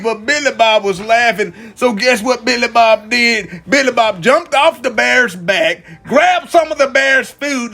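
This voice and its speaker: Slow, bright-voiced man telling bedtime stories. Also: very high-pitched, vocal fry, slight background noise. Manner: animated, drawn-out delivery, addresses young listeners, repeats phrases for emphasis. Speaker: storytelling dad, show host. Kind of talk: improvised children's bedtime storytelling.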